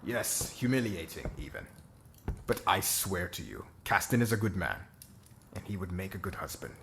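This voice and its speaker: Guy doing a serious formal diplomat in asmr roleplay, low voice, measured, bit of ambient noise. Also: sing-song, gravelly, a bit bright, exaggerated voice. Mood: earnest persuasion. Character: formal, earnest royal diplomat pleading for peace.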